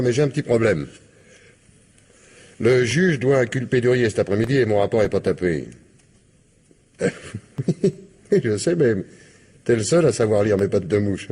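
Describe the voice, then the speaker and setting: Low, husky, blunt police commissioner. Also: male voice, darkish tone. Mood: brisk, familiar. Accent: French. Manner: colloquial, blunt, wry. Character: brisk, weary, paternal male police commissioner, bluntly sardonic. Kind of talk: naturalistic French radio crime drama acting.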